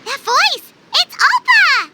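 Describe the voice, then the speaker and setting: A woman voicing a tiny excitable, curious fairy-like child companion in a video game, squeaky and inquisitive. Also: slow, slight background noise, full character voice. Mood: startled. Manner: exclamatory, inquisitive. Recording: loud game music underneath.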